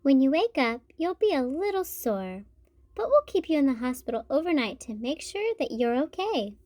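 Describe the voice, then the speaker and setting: A woman's voice: sits high, big pitch swings, moderate pace, mellow, no echo, voice pushed up. A woman voicing a kindly female bear doctor in a children's storybook, explaining things reassuringly. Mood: gentle explaining.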